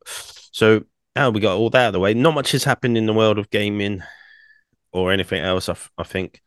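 A young man, co-hosting a casual podcast, rambling with many fillers and restarts, his throat croaky from singing. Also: lowish voice, a little fast, no echo, clean studio sound. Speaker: podcast co-host.

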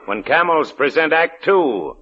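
A man's voice: fairly high pitch, lively intonation, measured pace, bright, forceful tone. A man announcing and narrating from a script in a dramatic 1940s radio style. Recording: muffled old radio recording with music behind.

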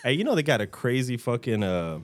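A man's voice: mid-range voice, sing-song, fairly quick, faint music behind. A man hosting a podcast in casual, laughing banter, swearing freely. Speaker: podcast host.

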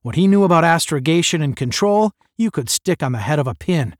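A man doing a forceful veteran space officer. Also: a little high, expressive, a little fast, dry recording, clean studio sound, voice pushed up, natural voice. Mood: earnest, proud. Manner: forceful, proud, instructive. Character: commanding male space officer, seasoned, earnest.

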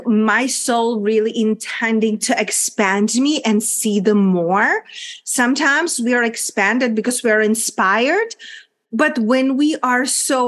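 A woman with a Czech accent, a coach speaking as an interview guest in an earnest, effusive way with frequent "like" fillers. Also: a little high. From Czech Republic. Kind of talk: candid interview chat.

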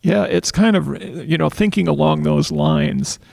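Raspy, fry-heavy male co-host, slightly higher-pitched, thoughtful, casual discussion. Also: wildly swooping pitch, a little slow, a little mellow. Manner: thoughtful, searching for words with frequent restarts. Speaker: podcast co-host.

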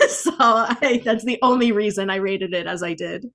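A woman podcast co-host with a mid-pitched voice talks in an informal, candid way. She gives her opinions freely, in the loose style of a casual book chat. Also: normal speed, fairly bright tone.

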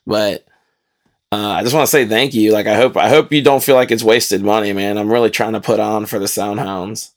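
A man's voice: lowish voice, fairly even intonation, brisk. Stoned young man rambling on a podcast. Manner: profane, rambling, loose and boastful. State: high on cannabis. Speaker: podcast host and rapper. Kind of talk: casual stoned podcast chat.

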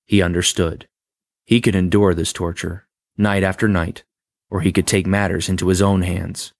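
A man with a very low voice narrates a horror tale, taking his time over each sentence and leaning into the suspense. The sound is close and dry, with no room around it. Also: little pitch movement, gravelly, no echo. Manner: measured, dramatic pacing. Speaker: story reader. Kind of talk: scripted horror story narration.

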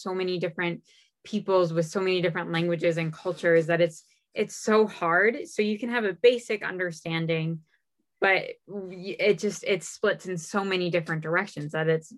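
A woman's voice: lowish voice, steady tone, moderate pace. A young woman in casual conversation, talking with enthusiasm and frequent fillers and restarts.

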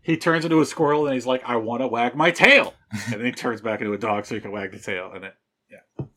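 A man's voice: on the low side, fairly even intonation, fast. A man in casual chat with friends about their tabletop game, joking and rambling with lots of laughter. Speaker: podcast cast member.

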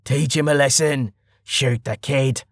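A man's voice: mid-range voice, fairly even intonation, a little slow, no echo, studio quality. A man voicing an angry, swaggering bandit gang boss who barks and taunts, in a fantasy audiobook. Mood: taunting. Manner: barking, taunting.

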